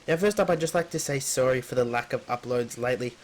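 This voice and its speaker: A man's voice: mid-range voice, fast, slight background noise. A man hosting a gaming news video, narrating in an informal scripted style.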